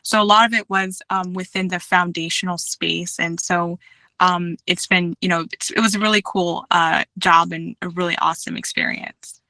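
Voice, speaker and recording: A woman candidate talks through her past roles on a video call. Her voice is bright and clear, and she chooses each word with care, with many ums and restarts. The sound is close and dry. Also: on the low side, steady tone, dry recording. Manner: measured, many fillers, careful restarts. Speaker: job candidate. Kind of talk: formal job interview answers.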